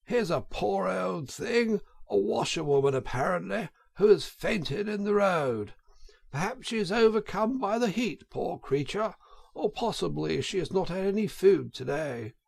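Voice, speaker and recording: A man voicing a kindly, fussing gentleman motorist in a storybook reading, with a solicitous, genteel manner. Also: high-pitched, animated, unhurried, gravelly, breathy edge, voice pushed up, full character voice. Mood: concerned.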